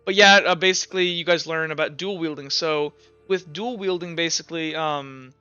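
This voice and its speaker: A man narrating a tabletop roleplaying game as game master, explaining things in a casual, halting, rambling way. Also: on the high side, bright, forceful tone, clean tone, dry recording, lo-fi sound, soft background music.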